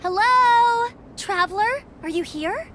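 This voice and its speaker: A woman's voice: very high voice, takes it slowly, loud music behind the voice. A woman voicing a friendly, upbeat young female scout in a video game cutscene. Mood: cheerful.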